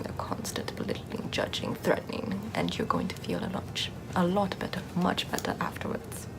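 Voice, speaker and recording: A woman playing a sardonic witch healer in an ASMR roleplay, soft-spoken and often whispering in a conversational way. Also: fairly low pitch, low-quality recording. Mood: soothing. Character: sardonic Puritan-era witch, chatty, scornful yet soothing healer. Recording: clear background ambient noise.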